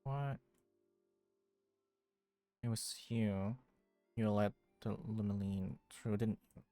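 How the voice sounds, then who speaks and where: A woman's voice: a bass voice, takes it slowly, mellow, no room sound. Woman game streamer, close dry mic, reading dialogue aloud, live commentary, game music behind.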